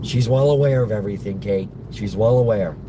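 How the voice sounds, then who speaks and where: A man with a worn-out, hoarse voice chatting haltingly to his stream viewers while driving. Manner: halting, restarts often, defensive. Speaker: live streamer answering chat. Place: in a moving car. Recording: loud car road noise. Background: road and engine rumble.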